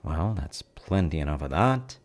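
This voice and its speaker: A man playing a gentle, playful dad in a cozy baking audio roleplay, talking casually and softly to the listener. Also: extremely low pitch, expressive, measured pace. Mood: cozy, gently playful. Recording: faint noise, kitchen sounds.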